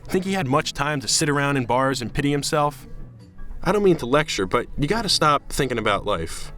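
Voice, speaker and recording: A man as a blunt veteran bartender, animated delivery. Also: fairly high pitch, fairly quick, faint music behind. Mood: paternal urging. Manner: blunt, lecturing, paternal. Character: blunt, fatherly older male veteran bartender. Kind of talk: student film drama acting.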